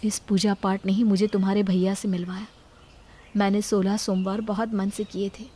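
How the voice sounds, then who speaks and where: A woman voicing a devout young wife in a moral story, gentle, earnest and pious. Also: mid-range voice, flat delivery, normal speed, a little hiss or hum, lightly acted. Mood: gentle, reassuring.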